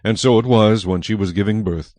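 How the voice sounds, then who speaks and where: A man's voice: on the low side, fairly quick, a little mellow, dry recording. A man reading scripture as the narrator of a dramatized audio Bible.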